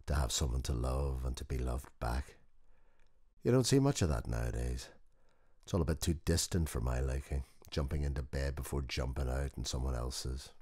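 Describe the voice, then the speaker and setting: Actor as very deep-voiced old widower mechanic, wistful. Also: male voice, darkish tone, slightly rough, full character voice. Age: older. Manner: conversational, wistful storytelling. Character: old working-class widower mechanic, gentle, proud, nostalgic about his wife. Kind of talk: dramatic first-person audiobook monologue.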